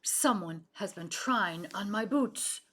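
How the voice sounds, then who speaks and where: A woman voicing a big, gruff, indignant papa polar bear in a children's storybook, booming and bellowing. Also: takes it slowly, a bit bright. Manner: roaring, bellowing. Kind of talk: children's storybook read-aloud.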